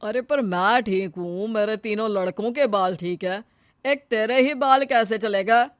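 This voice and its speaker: A woman voicing a practical husband and father in an animated story, reasoning and brushing off worries. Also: mid-range voice, measured pace, no room sound, studio quality, natural voice. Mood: sceptical. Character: calm, practical husband and father of three sons.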